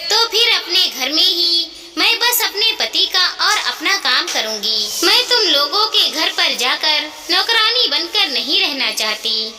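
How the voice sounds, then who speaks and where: A woman's voice: high voice, pushed and bright, full character voice. A woman voicing a proud, hurt elderly mother in an emotional, emphatic moral story. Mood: resolute, wounded. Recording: echoey reverberant room, clear background noise.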